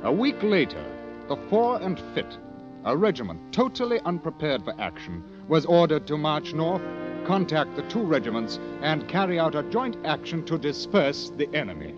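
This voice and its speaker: An actor playing a war correspondent who narrates an army adventure in an old radio drama. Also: male voice, a little high, sing-song, moderate pace. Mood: grave storytelling. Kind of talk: scripted 1940s-50s radio drama narration. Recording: dull old radio recording. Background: music.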